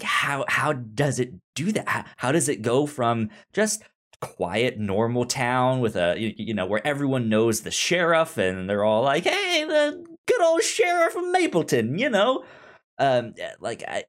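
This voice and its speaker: A man co-hosting a podcast in casual discussion, halting and often stammering over repeated words. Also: mid-range voice, fairly bright tone. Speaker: podcast co-host reviewing a TV series.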